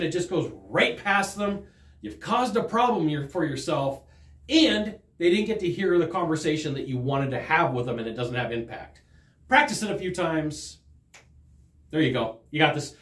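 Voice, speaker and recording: A middle-aged man teaching sales technique straight to camera, emphatic and gesturing as he talks. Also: on the high side. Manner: animated, emphatic, gesturing while talking. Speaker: sales trainer. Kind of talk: direct-to-camera sales lesson. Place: studio in front of a green screen. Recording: roomy studio echo.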